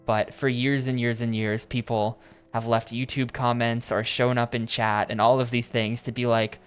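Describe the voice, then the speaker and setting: A man's voice: medium pitch, flat delivery, moderate pace, smooth, soft background music. A man streaming a video game, chatting casually and rambling between reading game text aloud. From United States. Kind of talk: casual gameplay commentary.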